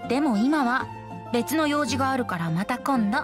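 A woman's voice: high voice, lively intonation, normal speed. A woman voicing a youthful boy bard in an anime game, playful and easygoing. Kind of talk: Japanese anime game voice acting. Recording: music playing behind the voice.

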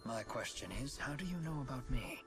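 A man voicing a courteous, dignified old gentleman in a game cutscene, speaking formally and graciously. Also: medium pitch, expressive, fairly quick, over music, lightly acted. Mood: earnest. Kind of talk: scripted game cutscene acting.

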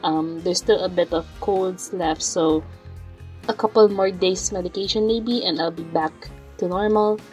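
A young woman with a raspy voice from a lingering cold, chatting in a rambling way as the host of her art channel. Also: medium pitch, darkish tone. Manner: rambling, chatty, with fillers and sighs. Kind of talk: casual chat over drawing footage.

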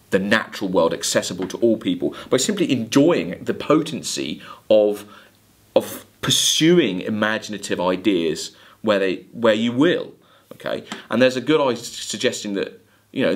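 A man giving a literature lecture, emphatic, halting and deliberate as he corrects himself. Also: lowish voice. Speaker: college English literature lecturer. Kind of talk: lecture with quoted lines of verse. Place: indoors, recorded video lecture at a desk.

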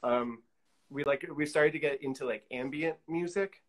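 A man's voice: a little high, moderate pace. A middle-aged man chatting casually as an interview guest over a video call, thinking aloud in an easygoing way. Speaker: guest, collage artist. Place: indoor studio room, video call.

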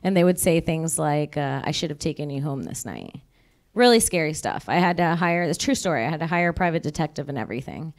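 A young woman doing stand-up on a club stage into a handheld mic, dropping dark punchlines in a chatty, deadpan way. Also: low-pitched, fast. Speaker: stand-up comedian. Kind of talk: stand-up comedy set. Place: comedy club stage before a live audience. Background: audience laughter.

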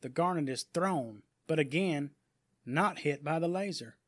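A man's voice: fairly high pitch, unhurried, no echo, studio quality. A man reading scripted story narration in a dramatic audiobook style.

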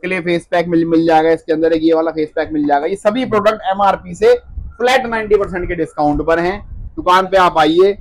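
A man in his thirties, a shop owner, rattling off products and prices in a quick, pushy sales patter, recorded on a phone camera inside his shop. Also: high voice, fairly even intonation. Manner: rapid, pushy salesman patter, repeats words. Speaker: wholesale cosmetics shop owner. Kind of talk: fast sales pitch listing prices. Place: cosmetics shop among stocked shelves, indoors. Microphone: handheld phone camera up close.

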